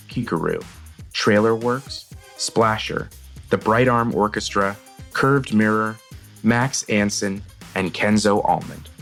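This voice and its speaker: Guy host reading podcast end credits, lowish voice, music playing behind. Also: fairly bright tone. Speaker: host, director. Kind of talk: scripted closing credits narration. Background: background music.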